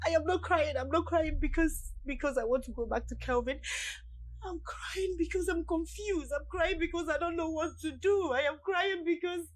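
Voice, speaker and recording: A tearful young wife torn between two husbands. She speaks in a high voice full of anguish. Her words come out in confused, repeated questions. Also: moderate pace, slight background noise. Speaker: pregnant wife.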